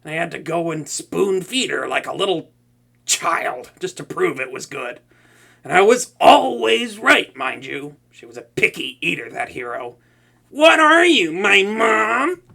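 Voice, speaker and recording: A man's voice: high-pitched, sing-song, a little slow, vocal fry, sharp tone, exaggerated voice. A young man voicing a proud, tsundere male supervillain who cooks for a captive hero, stammering and easily flustered in a comedic audio roleplay. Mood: wistful. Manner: stammering, flustered, talks to an unseen listener.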